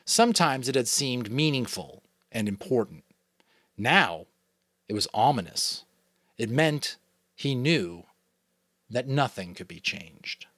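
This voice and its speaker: A man's voice: medium pitch, takes it slowly, long pauses, no echo, clean studio sound. A man from New England with a New England American accent, reading a novel aloud expressively, now and then correcting himself. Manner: expressive, occasional slips and self-corrections. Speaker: audiobook reader. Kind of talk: dramatic audiobook reading.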